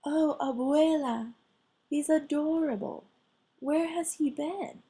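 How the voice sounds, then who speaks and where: A dainty young cockroach lady from a storybook, performed by a woman. She is proud and prim, with a dry, ladylike delivery. Her words come unhurried. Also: high voice, dark tone, voice pushed up. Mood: fretful, smitten.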